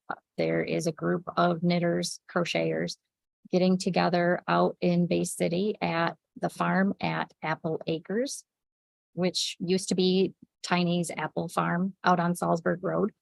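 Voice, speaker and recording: A woman running a yarn shop livestream, chatting casually with viewers, sniffly and a little raspy from a cold. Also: fairly low pitch, flat delivery, unhurried, no room sound, rough recording. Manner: chatty, reads out viewer comments by name, many ums. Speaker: yarn shop owner hosting a weekly livestream.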